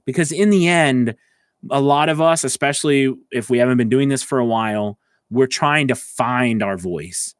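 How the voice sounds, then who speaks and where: A man's voice: mid-range voice, moderate pace, smooth, dry recording, studio quality. A man speaking as a voice acting coach on a live stream, talking informally and directly to listeners in an encouraging, conversational way. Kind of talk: informal instructional talk to livestream viewers.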